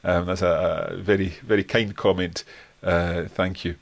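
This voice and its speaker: Low-voiced Scottish man hosting a live Q&A. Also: a little slow, creaky. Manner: relaxed and friendly, thanks viewers, frequent fillers. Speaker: host. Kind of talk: live stream Q&A, reading comments. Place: home study lined with bookshelves. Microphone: desk microphone in front, webcam framing.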